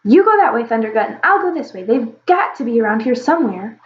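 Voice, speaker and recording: A woman voicing a gruff dragon on the hunt, shouting harsh orders. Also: sits high, sing-song, moderate pace, pitched above the performer's own voice. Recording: roomy, slightly dull recording.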